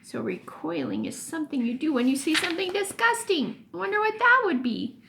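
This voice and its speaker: A woman teacher reading a children's story aloud expressively, pausing for emphasis and explaining things as she goes. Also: high voice, wildly swooping pitch, vocal fry, noisy background.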